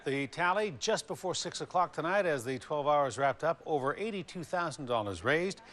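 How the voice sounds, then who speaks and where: A man's voice: on the high side, animated, normal speed, a bit bright. A man, presenting live local news and interviewing as an anchor.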